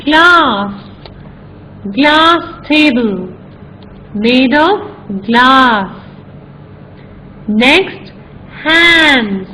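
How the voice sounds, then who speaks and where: A woman teaching a children's grammar lesson, speaking slowly and deliberately, spelling out words and repeating each example. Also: sits high, fairly bright tone, a little hiss or hum, rough recording.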